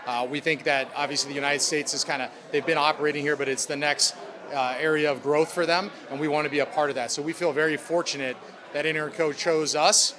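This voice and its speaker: A man's voice: fairly high pitch, steady tone, fast, crowd noise. A man speaking as a club president, answering reporters' questions in upbeat corporate language. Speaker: sports club president at a press conference.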